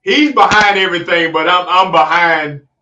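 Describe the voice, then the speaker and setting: A man's voice: sits high, normal speed, pushed and bright, distant mic, highs cut off. A man in his forties, the guest's older brother, who stammers a little and laughs often. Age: 40s. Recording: roomy sound, off-mic, faint noise.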